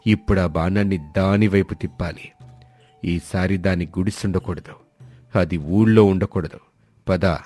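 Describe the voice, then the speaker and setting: Deep, dark scheming village landlord. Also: male voice, steady tone, moderate pace, faint music behind. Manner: menacing, domineering.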